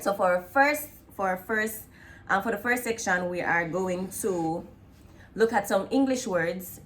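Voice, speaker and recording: Jamaican woman, lower voice, lively chatty host, faint noise. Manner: lively, laughing, Patois-tinged. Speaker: vlog host. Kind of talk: casual chat with partner.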